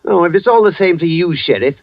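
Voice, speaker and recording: A man's voice: sits high, lively intonation, quick pace. An actor playing a nervous, quipping male manservant in a 1940s radio drama, fussy and formal. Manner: flustered, comic, clipped formal speech. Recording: dull lo-fi old radio recording.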